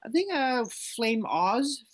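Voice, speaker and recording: A man's voice: extremely high pitch, sing-song, slow pace. A man in his thirties from Malaysia, a juggler answering interview questions casually with lots of fillers. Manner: easygoing, lots of "like" fillers and restarts. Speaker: juggler, guest.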